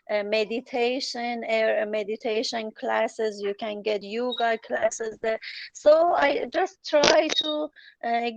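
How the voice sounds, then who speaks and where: Slow, hesitant woman, Farsi native speaker. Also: mid-range voice, vocal fry, dry recording, highs cut off. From Iran. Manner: hesitant, many fillers and restarts. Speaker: guest, journalist and travel writer. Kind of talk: interview answers.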